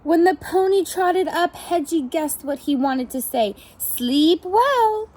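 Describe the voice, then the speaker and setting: A woman preschool teacher reading a picture book aloud to young children, in an animated storytelling style with asides to the listeners. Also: high voice. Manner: pauses to comment and question the children.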